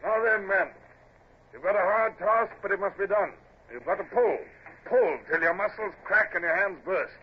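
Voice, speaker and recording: An actor playing a resolute British naval captain of the Napoleonic era in an old radio adventure drama. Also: male voice, high voice, moderate pace, close to an everyday voice. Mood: rousing. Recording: dull, noisy old radio drama recording.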